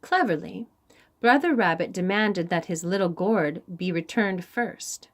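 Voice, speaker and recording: A woman narrates a storybook for young listeners. She takes her time, pausing deliberately, and her pitch moves in an animated, dramatic way. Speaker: children's story reader. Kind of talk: expressive storybook read-aloud.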